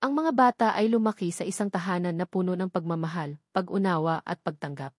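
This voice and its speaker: A woman reading a scripted sentimental story in an earnest, measured storytelling manner. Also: on the low side, no echo, clean studio sound. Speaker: story narrator.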